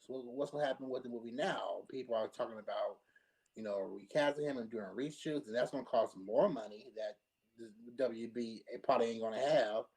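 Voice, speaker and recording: Male panelist, medium pitch, earnest and explanatory, casual conversational commentary. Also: a little fast, darkish tone, breathy edge, rough recording. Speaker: panelist on a pop culture talk show.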